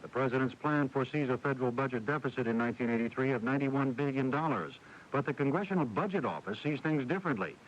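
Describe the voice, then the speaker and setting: A man's voice: mid-range voice, quick pace. An American man reading a scripted network radio news bulletin as anchor. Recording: dull, hissy 1980s radio broadcast recording.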